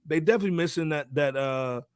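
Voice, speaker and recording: A man's voice: fairly high pitch, fast talker, clean tone, dry recording, studio quality. An American man co-hosting a podcast with casual, opinionated banter, full of slang and "man". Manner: slangy, peppers talk with "man". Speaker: podcast co-host.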